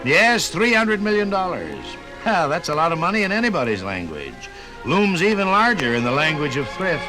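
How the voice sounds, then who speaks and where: An American man doing scripted 1950s radio announcing, with folksy, measured phrasing. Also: on the high side, very animated, rough recording. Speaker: radio narrator and sponsor announcer. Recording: 1958 radio program from LP record. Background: music.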